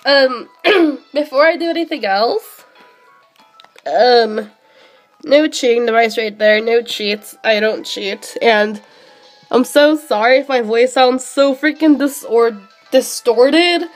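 A young woman streaming a handheld game, sick and losing her voice, chatting excitably with many fillers. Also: fairly high pitch, slow pace, faint music behind, low-quality recording. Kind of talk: live shiny hunting commentary.

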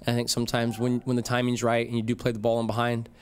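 A middle-aged man, a football head coach, speaking in a measured, reflective way at a postgame press conference podium. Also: mid-range voice, completely flat delivery, a little hiss or hum. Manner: measured, reflective, frequent fillers. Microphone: podium microphone.

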